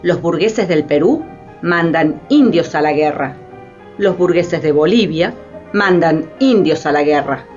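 A woman performing as a grave narrator in a historical radio play, with deliberate scripted delivery. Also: sits low, animated, a little mellow. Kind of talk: scripted dramatized narration in Spanish. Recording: dull lo-fi radio play, faint music.

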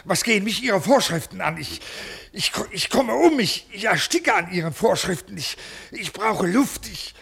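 Ailing bureaucrat for vintage radio drama: gravelly, slightly high voice, pleading, breaks off mid-sentence. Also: male voice, expressive, normal speed, few pauses, fairly bright tone, heavily acted. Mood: desperate, indignant. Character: desperate ailing male civil servant, pleading and indignant. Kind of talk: 1950s German radio play acting. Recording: vintage 1950s radio recording.

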